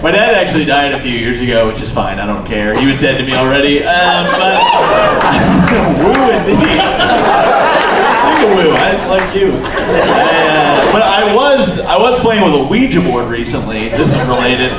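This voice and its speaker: A young man doing a stand-up comedy set, sardonic and deadpan with animated mock voices, in a comedy club with the audience laughing. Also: fairly high pitch, unhurried, heavy background noise. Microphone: handheld stage mic. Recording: roomy club sound from far off, loud crowd.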